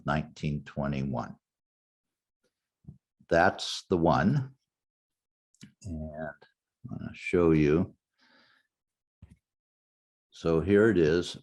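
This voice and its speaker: An older man teaching a genealogy class in a relaxed, anecdotal way, walking the class through a website step by step. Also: deep voice. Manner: anecdotal, conversational, walks through website steps. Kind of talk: informal lecture with screen demo.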